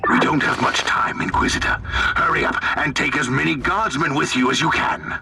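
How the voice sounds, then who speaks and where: An actor playing a gruff, gravelly military commander in a sci-fi video game, speaking in a brisk, sardonic, commanding manner. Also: male voice, fairly high pitch, distant mic, noisy background. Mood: urgent, commanding. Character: battle-hungry gruff male commander of sci-fi soldiers. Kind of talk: dramatic video game voice acting.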